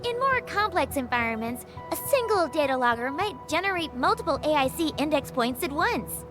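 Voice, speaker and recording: A woman's voice: high-pitched, very animated, moderate pace. A woman voicing a polite, eager engineering technician who gives instructions in a video game. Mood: upbeat, instructive. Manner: instructive, courteous. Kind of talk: scripted game tutorial dialogue. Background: game music.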